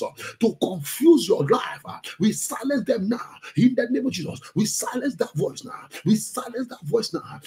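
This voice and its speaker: A man with a Nigerian accent, a pastor leading fervent Pentecostal prayer in forceful, repeated declarations with a strained, gravelly voice. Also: very high-pitched. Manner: forceful, repetitive chanting declarations.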